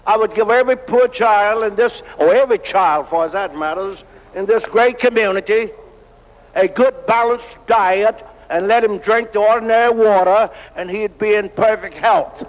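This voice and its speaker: A man's voice: high voice, normal speed. A man giving impassioned public testimony in a large chamber, on dull 1970s news footage. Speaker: speaker at public hearing. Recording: dull, echoing hall on 1970s tape.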